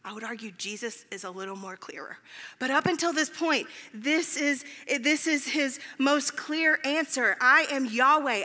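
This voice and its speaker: Bright-voiced woman preaching in a reverberant hall. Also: fairly high pitch. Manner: self-deprecating, informal. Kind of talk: conversational sermon. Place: large reverberant room, speaking to a congregation.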